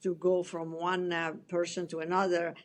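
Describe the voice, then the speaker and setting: A middle-aged woman from Italy with an Italian accent, a guest author chatting in an interview, with a halting manner full of restarts and light laughs. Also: on the low side, flat delivery. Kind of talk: casual interview chat.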